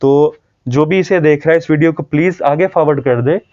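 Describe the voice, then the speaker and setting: A North Indian man speaks to viewers in an earnest, informal explanatory style. His voice sits a little high and is on the mellow side, and he repeats points for emphasis. The recording is slightly dull. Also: normal speed. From Jammu, India. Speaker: video host.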